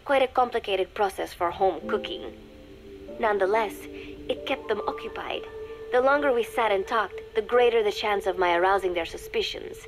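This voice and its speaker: A woman voicing a young undercover spy in a video game, dictating a private diary in a measured, confiding, dryly scornful way. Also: medium pitch, music in the background, close to an everyday voice. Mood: guarded, scornful. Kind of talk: scripted dramatic diary narration for a game.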